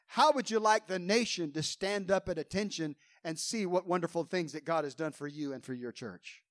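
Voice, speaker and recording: A pastor preaching a fervent sermon, quoting scripture with rising emphasis, his voice a little hoarse. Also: sits high, moderate pace, no room sound, clean studio sound.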